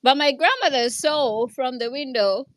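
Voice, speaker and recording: Kenyan woman, kinda high bright voice, lots of restarts and stumbles, dry close sound. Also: a little slow, no echo. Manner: hesitant, with many restarts and repeated words; earnest, speaks from her own experience. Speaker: speaker in an online audio discussion. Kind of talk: personal storytelling in a casual discussion.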